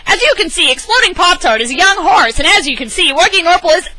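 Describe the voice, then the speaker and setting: An excitable storybook fellow played by a woman, forever insisting on his point. The voice is pushed high and bright, with big swoops and emphatic exclamations. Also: quick pace, exaggerated voice. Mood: proud, insistent. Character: Lunchbox Louie, excitable, insistent male storybook pet owner.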